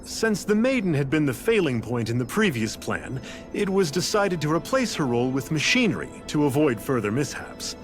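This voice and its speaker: A man's voice: a little high, sing-song, moderate pace, faint music behind. A man voicing a blithe, eccentric scientist in a video game, lecturing with theatrical, casual detachment. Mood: blithe, detached. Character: eccentric, blithe male scientist, coolly explaining a grand scheme. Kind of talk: scripted video game voice acting.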